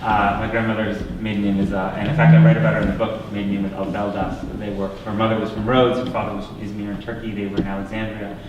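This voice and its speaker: A man's voice: lowish voice, little pitch movement, lo-fi sound, background noise. Man answering questions in echoey hall. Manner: thoughtful, measured, with fillers and restarts. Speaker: guest speaker taking audience questions. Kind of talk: lecture Q&A answers. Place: university lecture room. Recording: echoey room, voice from far off.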